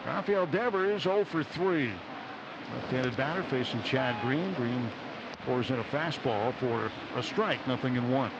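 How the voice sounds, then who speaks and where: Guy calling a baseball game on radio, measured short phrases, kinda muffled, really loud ballpark noise behind him. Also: medium pitch, expressive. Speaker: baseball play-by-play announcer. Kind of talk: live radio sports commentary. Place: outdoor ballpark broadcast booth. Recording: dull radio broadcast, loud stadium ambience. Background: loud outdoor ballpark ambience.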